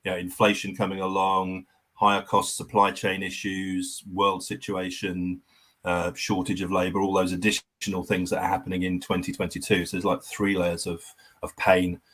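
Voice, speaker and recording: A man with a very deep, husky voice speaks in a flat, even tone. He joins a video call as a guest and lays out his points in a measured, orderly way. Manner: measured, explains in structured points. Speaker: guest consultant. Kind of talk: conversational discussion.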